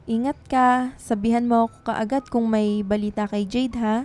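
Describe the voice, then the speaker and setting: An actress playing a tender, anxious young woman writer in a radio drama, soft and earnest in manner. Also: a little high, lightly acted. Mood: worried, pleading.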